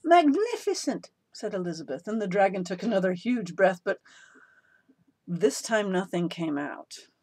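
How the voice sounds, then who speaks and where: A woman reading a picture book aloud as a storyteller, expressive, with a playful, chatty way of addressing the listener. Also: fairly low pitch, moderate pace, breathy edge. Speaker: children's story reader.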